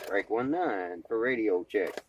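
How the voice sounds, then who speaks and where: A man's voice: on the low side, expressive, a little slow, noisy background. A man talking casually and plainly into a cell phone, in a folksy, slangy, rambling vlog style. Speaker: amateur vlogger and CB radio hobbyist, former truck driver.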